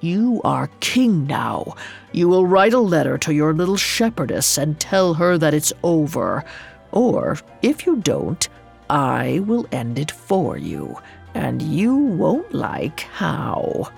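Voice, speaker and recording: A woman voicing a fierce, grieving male lion in a storybook tale, speaking in a slow, threatening, commanding way. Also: deep voice, very animated, vocal fry, a little mellow, faint music behind, full character voice. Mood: menacing.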